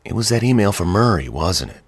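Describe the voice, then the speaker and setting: A man's voice: low voice, very animated, brisk, husky, dry recording, pitched above the performer's own voice, exaggerated voice. A man putting on a nervous, eager woman. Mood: worried. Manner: soft, anxious, pleading. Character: nervous, eager-to-please woman in her mid-thirties. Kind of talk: audiobook character voice.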